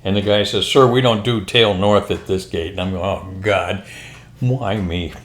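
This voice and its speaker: An older American man, a former pilot, telling anecdotes in a casual, unhurried, rambling conversational way. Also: on the low side. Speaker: retired military and airline pilot telling stories. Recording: roomy, echoing room sound.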